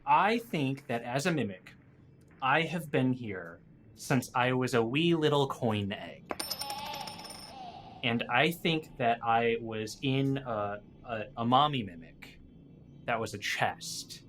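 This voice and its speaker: A man's voice: mid-range voice, animated, slow pace, background noise. A man chatting casually as a podcast guest, thinking aloud and telling improvised stories about game monsters.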